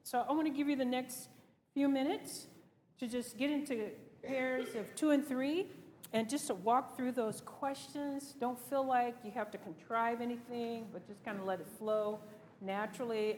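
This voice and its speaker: A woman's voice: on the high side, darkish tone. A woman leading a group session in an earnest, conversational way, putting reflective questions to her listeners. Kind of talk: reflective talk to a group with discussion instructions. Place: large reverberant room, speaking to a group. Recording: noisy hall with long reverb.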